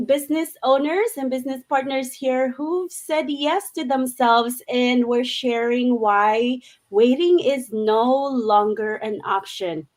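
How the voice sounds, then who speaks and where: A woman hosting a live online panel over a video call, upbeat and earnest, with fillers as she talks. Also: sits high.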